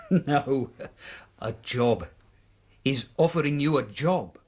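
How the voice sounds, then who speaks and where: A man's voice: mid-range voice, expressive, slow, darkish tone, a little hiss or hum. An actor playing a brash, blunt, smug man in a radio drama. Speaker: Mister Woodley.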